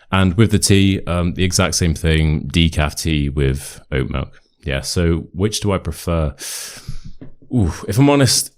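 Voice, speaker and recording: British English man, very low pitch, nasal from a cold, relaxed rambling teacher. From England. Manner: rambling, relaxed, answers questions aloud. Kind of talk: casual monologue to camera.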